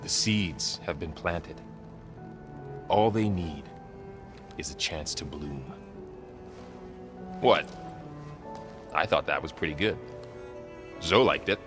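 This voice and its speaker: A man's voice: extremely low pitch, takes it slowly, long pauses. A man playing a loyal young warrior in a video game, easygoing and lightly teasing. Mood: playfully earnest. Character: loyal, good-humoured young male warrior companion. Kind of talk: video game cutscene acting. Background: faint music.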